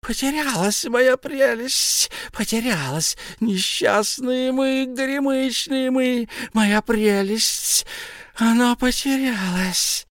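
A miserable, hissing cave creature, whining in a thin, strained voice that sits far above his normal register. He drags out his words slowly and sounds greedy and suspicious. The recording is dry and close, with no room around it. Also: very high voice, fairly bright tone, no room sound, voice pushed up, exaggerated voice. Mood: wailing. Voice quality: hissing, whiny.

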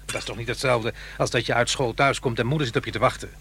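A man's voice: mid-range voice, fairly even intonation, quick pace, a bit bright, slight background noise, close to an everyday voice. A man playing a troubled, brooding divorced father in a radio drama, earnest and hesitant. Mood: worried, pleading. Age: middle-aged.

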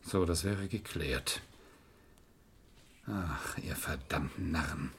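An actor playing a shrewd, domineering lawyer in a crime radio play, probing and sardonic. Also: male voice, very deep voice, slow, creaky, a bit bright, slight background noise, full character voice. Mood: scornful anger. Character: shrewd, domineering defence lawyer, scornful and commanding. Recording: old radio drama recording.

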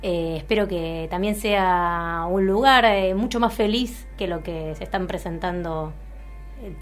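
A woman's voice: fairly low pitch, a little slow. An Argentine woman co-hosting a radio show, commenting casually and hesitantly as she searches for words. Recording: music bed under the voice. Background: music.

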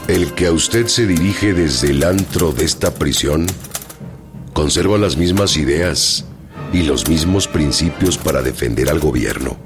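An actor with a Mexican accent playing a proud, loyal, imprisoned revolutionary in a radio drama, speaking in a slow, plain-spoken way. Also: male voice, extremely low pitch. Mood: grave, pleading. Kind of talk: radio drama, letters read aloud. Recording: faint music behind.

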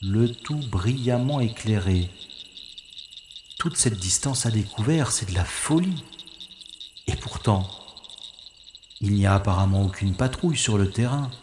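Man voicing a cocky spy narrating, low unhurried voice, echoey room, faint crickets. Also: animated, background noise. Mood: wry. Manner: wry self-mocking narration. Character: wry, cocky male secret agent. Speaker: first-person narrator-hero. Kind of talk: audio drama inner monologue.

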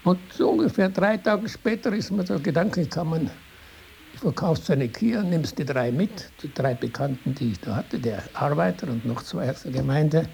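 Older Tyrolean man, creaky and high-pitched. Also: darkish tone. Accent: Tyrolean Austrian. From Tyrol, Austria. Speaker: interviewee recalling his life. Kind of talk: spontaneous oral reminiscence. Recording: hissy archival interview tape.

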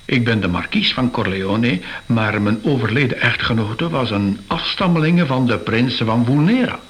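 A man's voice: medium pitch, normal speed, close to an everyday voice. A man playing a courteous, thoughtful museum curator in a radio drama, with polite, slightly hesitant phrasing. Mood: polite, reflective.

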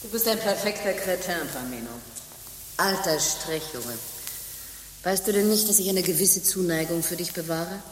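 Actress as haughty low-voiced abbess in echoing convent. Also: female voice, slight background noise, close to an everyday voice. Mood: teasing, haughty. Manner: formal, theatrical, haughty. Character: worldly, stern abbess of a convent of sinners. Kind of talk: German radio play acting. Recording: long reverb, music behind.

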